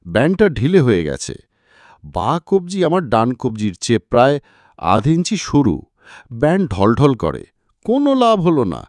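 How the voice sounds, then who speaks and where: A man acts out a chatty, grumbling private secretary for an audiobook. His pitch swings widely as he explains things in a conversational, slightly hesitant way. The sound is dry and close. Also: darkish tone, no echo. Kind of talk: dramatised audiobook dialogue.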